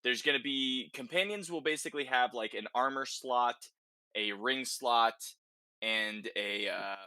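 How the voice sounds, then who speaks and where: A man's voice: medium pitch, bright, forceful tone, dry recording, clean studio sound. A man hosting a casual, conversational podcast discussion about video games, often checking in with short tag questions. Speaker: podcast host.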